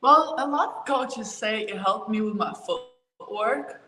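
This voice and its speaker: Bright young woman from Ukraine. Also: a little high, unhurried, a little hiss or hum. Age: young adult. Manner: relaxed, with frequent fillers. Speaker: college basketball player, interview guest. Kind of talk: casual interview answers. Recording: roomy with faint ambience.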